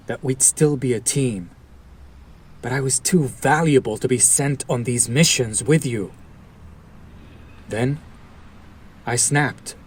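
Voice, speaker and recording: Casting: male actor for a bitter hero-turned-villain in an audio drama, mid-range voice, slow deliberate delivery, sardonic and protective. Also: medium pitch, breathy edge. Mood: bitter, impassioned. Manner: deliberate, sardonic, theatrical, with long pauses. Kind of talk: dramatic audio roleplay monologue addressed to listener.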